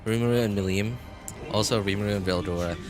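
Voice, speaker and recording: A man chatting casually as a game livestreamer, rambling and halting as he thinks aloud. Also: fairly low pitch, steady tone. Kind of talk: casual chat to viewers while gaming. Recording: music playing behind the voice. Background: background music.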